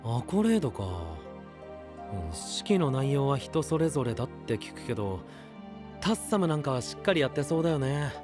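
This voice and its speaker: A man's voice: mid-range voice, sing-song, moderate pace, lightly acted. Guy doing chill friendly young knight, anime drama, mid voice, casual and supportive, music in back. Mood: curious, teasing.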